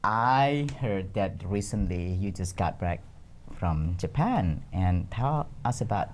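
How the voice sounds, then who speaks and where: A man's voice: sits low, animated, slow pace. Upbeat man hosting a studio interview. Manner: upbeat, showy greetings. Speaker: interview host.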